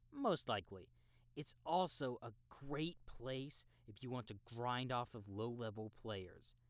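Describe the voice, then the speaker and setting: A man's voice: medium pitch, dry recording. A man playing an easygoing young experienced game player who explains the world to a newbie in a casual, knowing way, in an audiobook. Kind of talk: audiobook character acting, explanatory dialogue.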